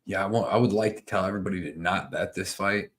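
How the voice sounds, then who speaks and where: A man in his early forties from Ohio co-hosts a casual live-streamed picks show. He talks in a laid-back, easygoing way, tossing in "dude" often. His voice sits fairly low. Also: steady tone, fast talker, fairly bright tone. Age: 40s. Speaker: co-host.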